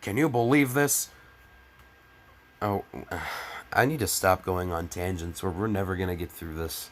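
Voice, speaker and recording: Male comic reader, lowish voice, sarcastic and theatrically exasperated, crude asides. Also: a little slow. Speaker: comedic fan fiction reader. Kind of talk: mocking dramatic reading with exasperated ad-libbed commentary.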